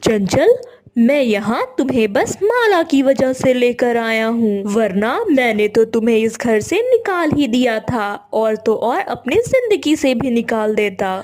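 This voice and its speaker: A woman's voice: a little high, big pitch swings, a little slow, a little mellow. A woman voicing a stern, protective young husband in a cartoon moral story, firm and scolding. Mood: angry.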